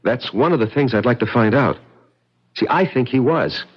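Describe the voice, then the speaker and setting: A man playing a tense, wistful American advertising man in a radio drama, earnest and a little halting. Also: medium pitch, lively intonation, slightly rough. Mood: brooding, earnest. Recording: muffled vintage radio, faint noise.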